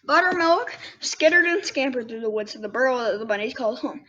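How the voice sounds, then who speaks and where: A woman's voice: high-pitched, animated, brisk, pushed and bright. A girl of about ten hosting a storybook read-aloud, breaking off for candid, casual asides. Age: child, about 10. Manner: candid, self-interrupting commentary. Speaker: young host reading children's books. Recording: dull lo-fi sound with faint noise.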